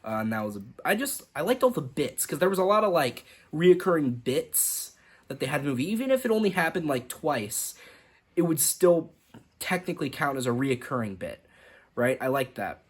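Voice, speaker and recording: A man's voice: on the high side, big pitch swings, normal speed, slightly rough. A young man giving a casual, rambling movie review, full of "like" and restarts. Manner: rambling, lots of fillers and restarts. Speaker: film reviewer.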